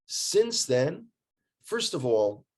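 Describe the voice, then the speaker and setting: A man's voice: mid-range voice, sing-song, extremely slow, a little mellow. A man with an American accent telling stories in a casual, conversational way, emphatic and repeating phrases for effect. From United States. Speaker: guest, tour guide living in Israel.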